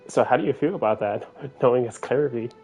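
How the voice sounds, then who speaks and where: A woman's voice: rumbling low, fairly quick, darkish tone, breathy edge, soft background music, low-quality recording. A woman streaming a video game, casually reacting and reading dialogue choices aloud.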